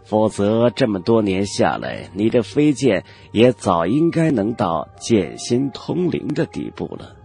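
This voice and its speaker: A man voicing an old male cultivator elder in a fantasy audiobook, haughty and knowing, with measured, condescending, old-fashioned speech. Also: lowish voice, sing-song, vocal fry, darkish tone, soft background music, heavily acted. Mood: regretful, knowing. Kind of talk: Chinese fantasy audiobook character acting.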